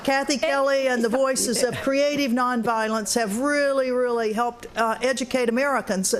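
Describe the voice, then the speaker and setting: A woman moderating a panel, introducing speakers in a measured way and sometimes repeating words. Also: a little high, fairly even intonation.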